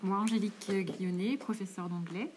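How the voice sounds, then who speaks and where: A woman English teacher answering casually, stammering and filling pauses often. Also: medium pitch, fairly even intonation, darkish tone, slightly rough. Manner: hesitant, stammering with many fillers. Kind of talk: casual spoken interview answer.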